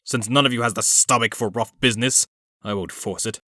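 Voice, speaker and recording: Man playing a haughty, sharp-voiced ruthless villain. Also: mid-range voice, a little fast, no room sound, studio quality. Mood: cold, goading. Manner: sneering, sardonic, articulate. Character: haughty, ruthless, wealthy male villain with cold contempt. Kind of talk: comic dub voice acting.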